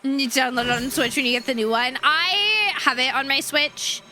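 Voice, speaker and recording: Bubbly high-voiced woman streamer, hoarse. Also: moderate pace, sharp tone, a little hiss or hum. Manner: bubbly and sweet, thanking chat. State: hoarse, losing her voice. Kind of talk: casual live-stream chat while gaming.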